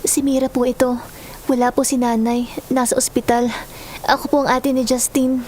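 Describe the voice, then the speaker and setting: A woman's voice: high voice, level tone, moderate pace, darkish tone, slight background noise, lightly acted. A Filipino woman playing a caring, respectful young adult daughter in a family drama, polite and earnest. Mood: polite, subdued. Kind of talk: melodramatic drama acting. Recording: dramatic music bed.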